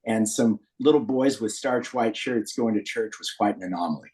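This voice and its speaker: A man's voice: on the low side, moderate pace, a little mellow, low-quality recording. An American man in his late sixties telling personal stories as a podcast guest in an earnest, anecdotal way. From Berkeley, California, USA. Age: 60s. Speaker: podcast guest, founder of a men's community group. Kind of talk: personal storytelling in a podcast interview.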